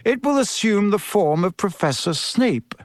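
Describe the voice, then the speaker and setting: Storybook schoolmaster played by a male reader: kindly, encouraging, patient, raised pitch with a lively swing. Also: measured pace, dry recording, clean studio sound. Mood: amused, instructing. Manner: patient, cheerful instructing. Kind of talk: audiobook character voice.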